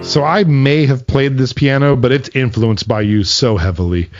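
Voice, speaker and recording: A man's voice: mid-range voice, moderate pace. Chatty laughing man, dull lo-fi sound. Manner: chatty, laughs often, loose storytelling. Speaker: podcast co-host and band's keyboard player. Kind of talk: casual chat reacting to old videos. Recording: slightly dull lo-fi sound, faint music behind.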